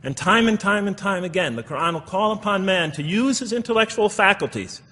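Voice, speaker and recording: A man's voice: sits high, animated, fairly quick, sharp tone. A man giving a public lecture explaining scripture, emphatic and repeating phrases for stress, in a large hall. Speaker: religious lecturer. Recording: echoey hall with long reverb.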